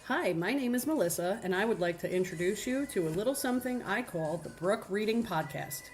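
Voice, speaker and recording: A woman's voice: mid-range voice, expressive, fairly quick, music in the background, poor audio. A woman reading a scripted promo as a podcast host.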